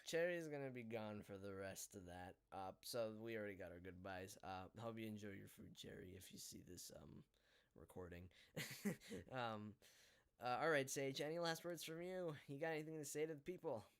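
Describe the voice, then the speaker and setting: A man hosting a casual live podcast over an online call, talking in a hesitant, rambling way with halting delivery. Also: lowish voice, clear, resonant tone. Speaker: podcast host.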